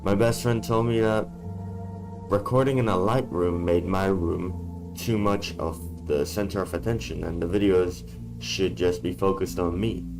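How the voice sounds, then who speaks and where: A man talking in a casual vlog from a dark room, blunt and swearing freely, his voice worn from being sick. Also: deep voice, a little slow, music in the background. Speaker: YouTuber.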